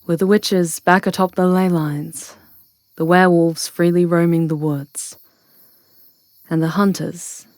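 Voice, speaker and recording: Low-voiced solemn witch played by a woman. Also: darkish tone, dry recording. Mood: solemn, relieved. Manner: measured, solemn storytelling. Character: solemn, authoritative witch, ally of hunters. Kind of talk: scripted ASMR fantasy roleplay.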